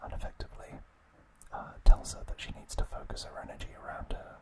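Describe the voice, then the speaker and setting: A man whispering an ASMR trivia recap in a breathy, unvoiced, hushed voice with gentle, halting pauses. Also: rough recording. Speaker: ASMR host.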